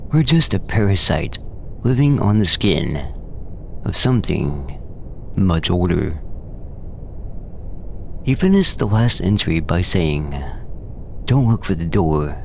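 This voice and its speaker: A man playing a grave, conspiratorial investigator narrating a scripted horror audio drama, with a gravelly voice and ominous, deliberate pauses. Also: deep voice, a little mellow, slight background noise, full character voice. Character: grave, conspiratorial male investigator broadcasting from a forbidden zone. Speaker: host and narrator.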